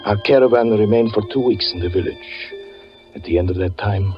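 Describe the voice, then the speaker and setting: An actor playing a haunted, doom-laden fortune teller, narrating gravely and haltingly in 1940s radio drama style. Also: male voice, fairly low pitch, close to an everyday voice. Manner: grave, halting, melodramatic delivery. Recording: muffled 1940s radio recording with music.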